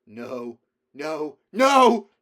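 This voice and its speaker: A man voicing an intense teenage boy who swings between anguished shouts and cold, iron-controlled speech in an audiobook reading. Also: mid-range voice, normal speed, voice pushed up, lightly acted.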